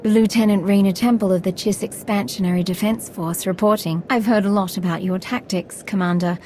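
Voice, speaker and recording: A woman's voice: mid-range voice, steady tone, a little fast, warm tone, a little hiss or hum, close to an everyday voice. An actress playing a polite, dutiful young military lieutenant in a video game, respectful in her delivery. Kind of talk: cinematic video game dialogue. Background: music.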